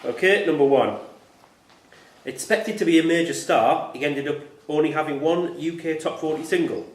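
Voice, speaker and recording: A man's voice: fairly high pitch, normal speed. A man acting as quizmaster, reading quiz questions aloud in an echoey club room.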